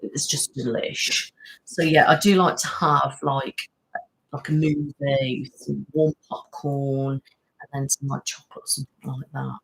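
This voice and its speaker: A woman's voice: very deep voice, steady tone, measured pace, vocal fry, rough recording. A woman, chatting casually on a live stream and answering viewers' comments in a rambling, friendly way. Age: 30s. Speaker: ASMR channel host.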